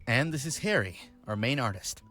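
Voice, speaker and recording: Young male founder: animated, mid-pitched, supportive and explanatory visual novel read over soft music. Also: moderate pace. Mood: earnest, proud. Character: earnest, friendly young male startup founder.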